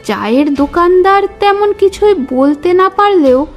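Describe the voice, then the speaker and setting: A woman's voice: extremely high pitch, animated, unhurried. A woman playing a watchful young neighbour giving careful, earnest witness testimony in an audio story. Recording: music bed under voice.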